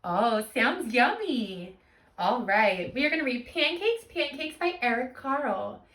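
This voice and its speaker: Teacher lady reading a kids book, highish bright voice, expressive, kinda echoey room. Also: a little slow, distant mic. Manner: animated, expressive teacher talk with simple questions and explanations. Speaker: teacher hosting a children's storytime. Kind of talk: read-aloud of a picture book for young children.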